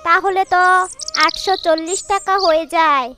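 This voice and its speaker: A woman's voice: very high voice, fairly even intonation, moderate pace, bright, forceful tone, faint music behind. A woman voicing an eager, thrifty young wife bird in a children's cartoon, speaking in an earnest, girlish way. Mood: bargaining. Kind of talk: children's cartoon moral story dialogue. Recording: noisy track with birdsong behind. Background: birds chirping.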